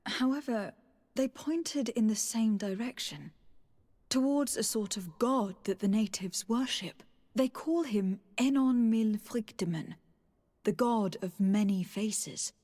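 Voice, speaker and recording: A woman's voice: mid-range voice, slow, darkish tone. An actress playing a loyal, composed noblewoman diplomat in a video game, speaking formally and sincerely. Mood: earnest. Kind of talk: dramatic video game acting. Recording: long hall-like reverb.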